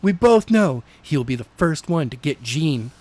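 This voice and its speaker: Man voicing an insistent, animated vengeful young woman. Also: a little high, measured pace, no echo. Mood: indignant, insistent. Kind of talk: amateur audiobook character reading.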